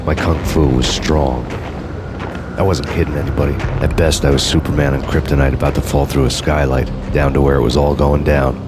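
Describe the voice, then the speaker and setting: A brooding hardboiled detective narrates a noir video game in an extremely low, bottomed-out voice. His delivery is grim, wry deadpan with little melodic movement, over clearly audible music. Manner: slow, grim, poetic deadpan.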